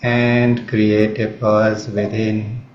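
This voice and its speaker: A man with an Indian accent leads a guided meditation in a calm, measured manner, leaving long pauses between phrases. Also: lowish voice. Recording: roomy, slightly muffled, faint background noise.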